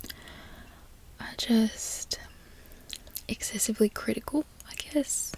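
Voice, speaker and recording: A woman whispering close to the microphone in a put-on Australian accent, chatting in a soft, unhurried way for an ASMR product review. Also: a little high. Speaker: ASMR creator. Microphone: close sensitive microphone.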